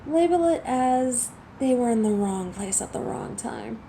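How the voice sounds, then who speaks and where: Actress as a female alpha werewolf for audio drama: higher voice, unhurried delivery, menacing, commanding, easily exasperated. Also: expressive, gravelly, dark tone, a little hiss or hum. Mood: brisk, businesslike. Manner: imperious, sardonic, menacing. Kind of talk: dramatic roleplay audio acting.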